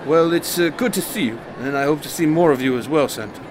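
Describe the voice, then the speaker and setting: A man acting as an imposing ex-criminal priest in a radio drama, friendly, hesitant and gently teasing. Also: on the high side, fast talker, close to an everyday voice. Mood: genial, hesitant. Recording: clearly noisy ambient background.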